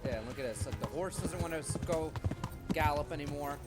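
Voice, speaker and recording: A man's voice: on the high side, a little fast. A man giving casual, sweary and exasperated gameplay commentary as a let's play host. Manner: sweary, exasperated griping at the game. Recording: loud game music behind voice. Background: game music, horse hoofbeats.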